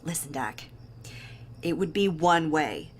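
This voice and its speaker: A woman's voice: low voice, expressive, takes it slowly, a little hiss or hum. An actress playing a wry, guilt-burdened woman in an audio drama, with a conversational, probing delivery. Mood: grave, earnest. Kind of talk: naturalistic audio drama acting.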